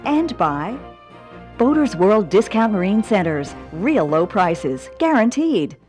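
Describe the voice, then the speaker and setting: A woman's voice: medium pitch, very animated, takes it slowly, darkish tone. A woman announcer reading scripted television sponsor messages. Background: music.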